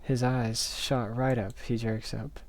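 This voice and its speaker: A man with an average-pitched voice reads a story aloud. He narrates expressively, like someone sharing a visual novel with viewers, and can slip into casual commentary. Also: level tone, slow.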